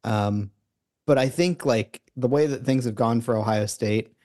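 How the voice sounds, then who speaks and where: A man's voice: medium pitch, a little slow, a little mellow. Rambling man co-hosting a sports podcast. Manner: loose, rambling, many fillers and restarts. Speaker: podcast co-host. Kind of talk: casual sports podcast chat. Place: remote recording, separate from co-host.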